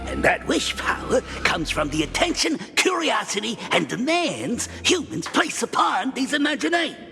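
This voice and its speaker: A flamboyant gang boss. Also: male voice, very high voice, wildly swooping pitch, normal speed, creaky, long reverb tail, over music, exaggerated voice. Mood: theatrical. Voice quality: theatrical, laughing, sing-song. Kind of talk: game voice acting.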